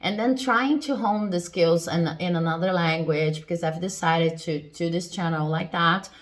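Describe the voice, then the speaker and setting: Low-voiced woman reading tarot. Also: moderate pace. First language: Portuguese. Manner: reassuring, explanatory. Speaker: tarot reader. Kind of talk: pick-a-card tarot reading.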